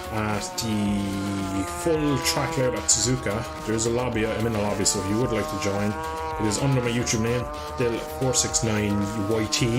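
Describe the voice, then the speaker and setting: A man chatting his way through a live game stream, rambling and swearing when he crashes. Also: medium pitch. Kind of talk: live gameplay commentary.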